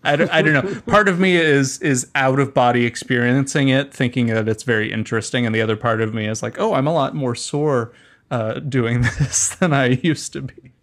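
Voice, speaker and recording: A middle-aged American man chats as a guest in an interview. His voice sits at an ordinary male pitch. He speaks in a halting, wry, conversational way, picking his words as he goes. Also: a bit bright. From California, United States. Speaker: interview guest, musician and performer.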